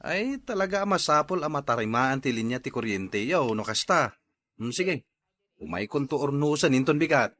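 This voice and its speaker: A man's voice: mid-range voice, lively intonation, normal speed, a bit bright, close to an everyday voice. A man playing a plain-spoken, obliging but scolding handyman husband in an Ilocano radio drama.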